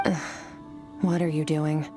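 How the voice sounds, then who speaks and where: A woman's voice: sits low, flat delivery, measured pace. An actress playing an aloof, world-weary, mysterious young woman in a video game, speaking in a cool, curt, disdainful way. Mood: irritated. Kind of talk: video game character acting. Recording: game music behind the voice. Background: background music.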